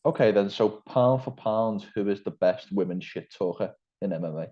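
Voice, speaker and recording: A man's voice: fairly low pitch, moderate pace, dark tone. Liverpool man asking questions, dull lo-fi sound. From England. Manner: wordy, hedging questions. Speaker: interviewer. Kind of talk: interview over a call.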